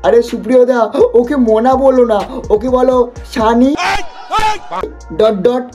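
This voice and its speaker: A person hosting a comic roast in a mocking, exaggerated, excitable way. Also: mid-range voice, measured pace, some chatter behind. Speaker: prank video host and roaster. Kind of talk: teasing comic roast commentary. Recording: music behind the voice. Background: music.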